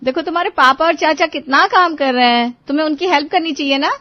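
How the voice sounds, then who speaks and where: A woman's voice: high voice, fast talker, no room sound, highs cut off, pitched above the performer's own voice, close to an everyday voice. A woman voicing a teasing, nagging housewife and mother in a cartoon story, exclaiming and chiding. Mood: calling out.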